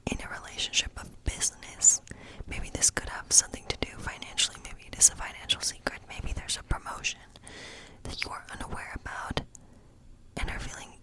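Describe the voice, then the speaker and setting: Whispering woman reading tarot as a witch. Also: low-quality recording. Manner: unhurried, musing, thinking aloud. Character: gentle fortune-telling witch. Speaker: ASMR role-play performer as a tarot-reading witch. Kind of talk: whispered ASMR tarot reading role play.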